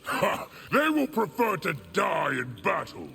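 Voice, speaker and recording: Gravelly, rebellious warlord. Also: male voice, high-pitched, huge pitch swings, measured pace, heavily acted. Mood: proud, militant. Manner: forceful, defiant, declamatory. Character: proud, militant, rebellious male war leader. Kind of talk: dramatic video game acting.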